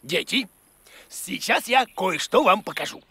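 A man's voice: sits high, very animated, measured pace, sharp tone, slight background noise, heavily acted. A man voicing a grumpy, bumbling bearded creature who teaches children in a cartoon, in a blustery, muttering manner. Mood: self-important.

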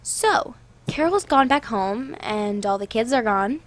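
An actress plays a neglected wife and mother in an audio drama. Her voice is high. She is a middle-aged woman who confronts her partner. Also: animated, a little slow. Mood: tentative.

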